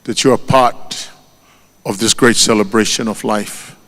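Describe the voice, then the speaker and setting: A man with a Tongan accent gives a funeral eulogy at a very unhurried pace. His delivery is solemn and measured, and he lifts his voice for each name. His words ring out with a long reverb, as in a large church. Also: on the low side, steady tone, fairly bright tone.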